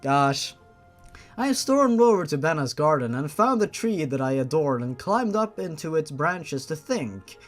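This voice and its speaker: Guy doing a dramatic story reading, very animated, sarcastic and fed up, swears a bit. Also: on the high side, unhurried, soft background music. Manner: mocking, exasperated, swears casually. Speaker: host reading fan fiction aloud with commentary.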